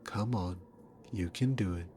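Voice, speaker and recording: A low-pitched demon king played by a man speaks in a slow, theatrical drawl. He is a dominant villain in an ASMR roleplay, mocking and condescending as he toys with his prey. Faint noise sits behind the voice. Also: warm tone. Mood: amused, condescending. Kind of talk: ASMR roleplay acting, addressed to the listener.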